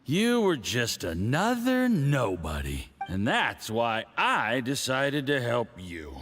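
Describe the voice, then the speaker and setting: An actor playing a smug, scheming, tipsy diplomat in a video game, with an expansive, patronizing, theatrical manner. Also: male voice, medium pitch, huge pitch swings, slow pace, a bit bright, in a large hall, faint music behind, exaggerated voice. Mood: boastful, condescending. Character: smug scheming foreign diplomat, boozy and condescending.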